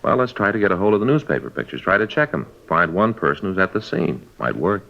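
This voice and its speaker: An actor playing a brisk, easygoing detective partner in a 1950s radio drama, talking in a practical, colloquial way. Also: male voice, low-pitched, big pitch swings, vocal fry, exaggerated voice. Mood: brisk, speculative. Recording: dull vintage radio recording.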